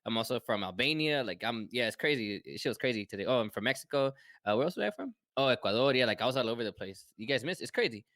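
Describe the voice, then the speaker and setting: Man, podcast co-host, quick rambling talk, cursing, dry close sound. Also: medium pitch, animated, a bit bright, no echo. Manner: rambling, profane, joking. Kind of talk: casual chat.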